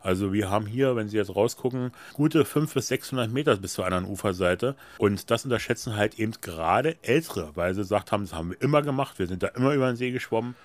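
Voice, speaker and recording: An older man talks through his work as a lifeguard chief. His voice is fairly low, recorded dry and close. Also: expressive, fast talker, few pauses, no echo. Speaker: head of lifeguard operations. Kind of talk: explanatory interview talk.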